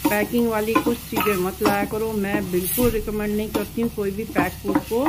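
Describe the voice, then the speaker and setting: A woman's voice: medium pitch, fairly even intonation, moderate pace. A woman hosting a cooking video in her home kitchen, talking homely and instructive and repeating words for emphasis. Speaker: cooking channel host. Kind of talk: chatty cooking narration. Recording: loud sizzling pan noise. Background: frying and stirring sizzle.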